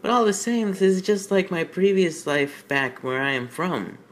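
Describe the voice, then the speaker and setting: A man's voice: high-pitched, animated, normal speed, pitched above the performer's own voice. A man voicing a polite, eager female tank engine newcomer from a children's story.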